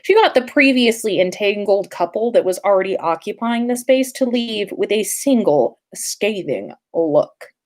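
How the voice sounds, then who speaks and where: Slow, very expressive woman storyteller. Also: medium pitch. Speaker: podcast host reading fan fiction.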